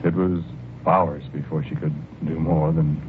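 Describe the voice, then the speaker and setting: An actor playing a haunted, fearful husband in an old-time radio horror drama, speaking slowly and haltingly with brooding, heavy pauses. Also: male voice, rumbling low, little pitch movement, noisy background. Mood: sad, awed. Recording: muffled, tinny vintage radio recording with music. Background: loud music.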